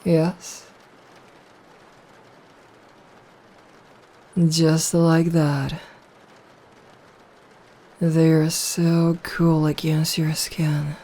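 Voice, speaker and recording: Woman performing a female vampire, seductive, possessive, dark mellow tone, narrow even pitch, hushed and breathy, teasing, close-up ASMR roleplay. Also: full character voice. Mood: soothing, possessive. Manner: slow, hushed, teasing, coaxing. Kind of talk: intimate ASMR audio roleplay.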